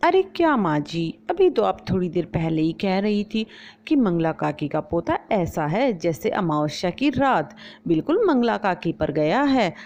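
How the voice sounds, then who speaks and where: A woman's voice: lowish voice, big pitch swings, a little slow, a little mellow. A woman voicing a cheeky, blunt young daughter-in-law who blurts out everything, in a storybook. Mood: innocently blunt. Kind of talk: storybook voice acting. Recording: slightly dull lo-fi recording.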